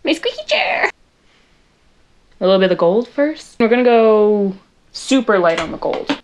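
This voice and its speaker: Lively, chatty young woman vlogger. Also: mid-range voice, moderate pace, slight background noise. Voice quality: loud voice. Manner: chatty, rambling, self-deprecating, talks to viewers while working. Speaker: makeup vlogger. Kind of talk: chatty makeup tutorial. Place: her bedroom at home.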